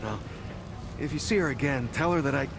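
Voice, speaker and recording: An actor playing an awkward, shy townsman in a video game, speaking in a halting stammer over background noise. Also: mid-range voice, animated, vocal fry. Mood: flustered, wistful. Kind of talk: naturalistic video game voice acting.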